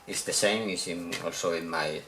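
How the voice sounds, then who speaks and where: Deep-voiced Spanish man, halting English. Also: noisy background. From Spain. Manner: hesitant, repeats words while searching for English. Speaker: video game collector showing purchases. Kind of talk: casual unboxing vlog.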